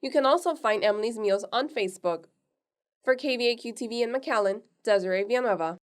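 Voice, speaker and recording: A woman reporter reading a news story in a scripted, even delivery. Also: fairly high pitch, brisk. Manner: even, read-aloud delivery.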